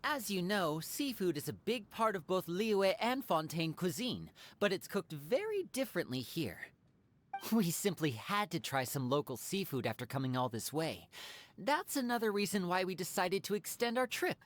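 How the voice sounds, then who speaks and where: A cheerful, refined young showman from a video game talks with very animated, theatrical phrasing. The register sits a little low for a female voice, and the manner is courteous and articulate. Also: normal speed. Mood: cheerful, storytelling. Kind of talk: video game character acting.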